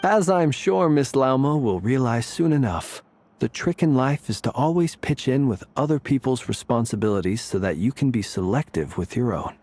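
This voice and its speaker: A man voicing a formal, smoothly polite male character with a wry edge, in video game dialogue. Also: medium pitch, animated, moderate pace. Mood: suave. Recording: game audio with soft music behind.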